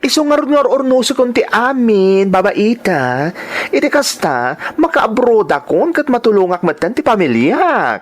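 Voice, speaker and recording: An actress playing a persuasive young woman friend in a radio drama, emphatic with drawn-out exclamations. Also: fairly high pitch, very animated. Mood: eager, persuasive. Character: lively, persuasive young woman friend dreaming of working abroad.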